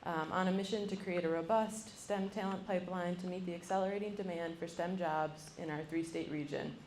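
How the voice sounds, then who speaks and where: A woman's voice: lowish voice, fairly even intonation, a little fast, a little mellow, a little hiss or hum. A young woman moderating a university panel, introducing each speaker with frequent ums. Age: young adult. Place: lecture room. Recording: roomy, mic from a distance.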